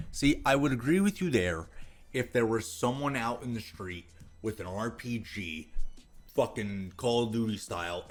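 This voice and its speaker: An American man with a fairly low voice reads aloud and reacts in a scornful, sarcastic commentary. He swears freely, and faint music plays underneath. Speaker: video commentator.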